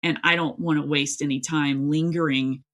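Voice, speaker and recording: A woman's voice: low voice, steady tone, normal speed, a bit bright. A middle-aged woman from East Tennessee, an author talking casually and emphatically as an interview guest, telling things in a rambling way. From East Tennessee, United States. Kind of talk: casual, chatty interview talk.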